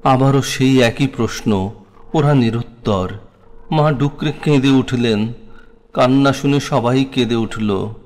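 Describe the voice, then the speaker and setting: A man with a Bangladeshi accent reciting a scripted memoir in a solemn, dramatic, literary way. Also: medium pitch. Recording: long hall-like reverb.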